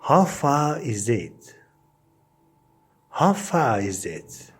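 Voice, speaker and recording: Man, mid-pitched voice, very slow pace, animated intonation, English lesson, short repeated phrases. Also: slightly rough. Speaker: English teacher.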